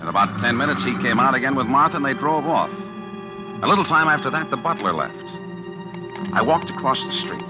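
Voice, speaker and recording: A man's voice: medium pitch, animated, a little fast, lightly acted. A man playing a cool, scheming killer narrating. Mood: brooding. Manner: hard-boiled, confiding, with nervous stammers. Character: cool, calculating man plotting to poison his wife. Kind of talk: first-person narration in 1940s radio acting. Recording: dull 1940s radio recording with music underneath. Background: music.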